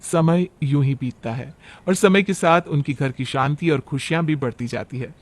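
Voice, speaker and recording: High-pitched male story narrator. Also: normal speed. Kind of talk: scripted story narration for cartoon.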